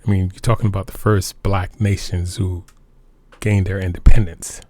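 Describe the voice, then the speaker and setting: A middle-aged man from Montreal speaking as a guest in a personal interview, halting and repeating phrases for emphasis. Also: low-pitched, level tone, mellow, no room sound. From Montreal, Canada. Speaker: interview guest, military veteran.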